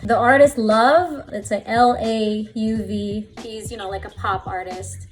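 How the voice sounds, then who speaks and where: A woman's voice: medium pitch, steady tone, unhurried, faint music behind. A young adult woman from the Philippines, a fashion stylist, chatting casually in an interview with lots of "like" and "you know".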